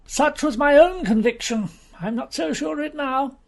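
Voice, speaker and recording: A man voicing an excitable, fanciful gentleman in an audiobook mystery, emphatic and fussy, prone to exclaiming. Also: extremely high pitch, normal speed, vocal fry, voice pushed up. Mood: insistent. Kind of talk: audiobook character voice.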